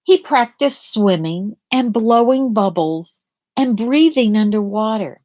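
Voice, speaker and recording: Female children's storyteller, higher-pitched, very expressive melody, unhurried read-aloud delivery. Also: highs cut off. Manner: deliberate, dramatic phrasing for young children. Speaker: storyteller reading a picture book aloud.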